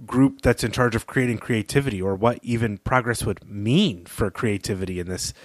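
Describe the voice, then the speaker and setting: Slightly low-voiced man analysing fiction. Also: moderate pace, dry recording. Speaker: podcast co-host. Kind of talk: analytical literary discussion.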